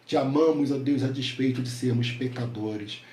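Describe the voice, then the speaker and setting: Pastor guy with a stuffy nose from a cold, mellow dark voice, praying with lots of o god's, echoey room with a little hiss. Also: mid-range voice, moderate pace. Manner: fervent prayer full of repeated invocations. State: nasal from a head cold. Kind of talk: prayer and devotional address. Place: home. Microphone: headset. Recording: echoey room, faint background noise.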